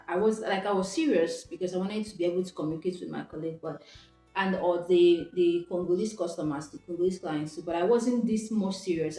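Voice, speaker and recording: A woman's voice: on the low side, a little mellow. A young Nigerian woman with an Igbo first language, talking reflectively to camera and often restarting her sentences. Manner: reflective, with frequent restarts and self-corrections. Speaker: vlogger. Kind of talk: casual talking-to-camera vlog. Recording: echoey room with faint background music.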